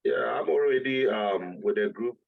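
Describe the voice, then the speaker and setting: A man from Ghana with a Ghanaian accent, speaking earnestly and in a measured way in a group discussion over a video call. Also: medium pitch, vocal fry, dry recording, narrow, tinny audio. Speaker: meeting participant. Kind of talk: discussion contribution.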